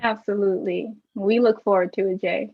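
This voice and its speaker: A woman's voice: medium pitch, steady tone, moderate pace, lo-fi sound. A woman acting as moderator, politely guiding and closing a panel session.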